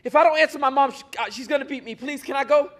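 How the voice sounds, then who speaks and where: A woman's voice: sits high, fast, lightly acted. A woman playing a frightened six-year-old boy who pleads tearfully. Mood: pleading. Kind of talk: stage play acting.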